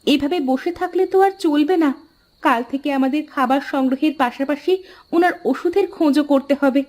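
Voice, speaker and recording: Woman acting a high-voiced devoted young sister. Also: brisk, a little mellow, lightly acted. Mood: determined, caring. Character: devoted young village wife, one of three brave sisters. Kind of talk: Bengali moral-tale cartoon dubbing. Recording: music under the voice.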